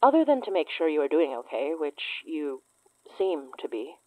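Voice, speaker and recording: Female twenties investigator, low and dry-sounding, deadpan tease, world-worn, for a horror audio drama. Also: normal speed, no room sound, narrow, tinny audio. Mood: guarded, earnest. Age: 20s. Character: wry, teasing, trauma-worn young woman investigator with psychic senses. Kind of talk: naturalistic audio drama acting.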